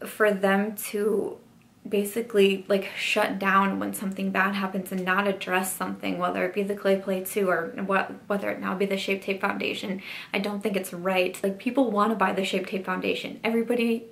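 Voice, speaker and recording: Young woman, beauty vlogger, earnest, hesitant, gravelly, flat delivery. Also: medium pitch. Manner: earnest, hesitant, many ums and restarts. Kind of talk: candid apology vlog to camera.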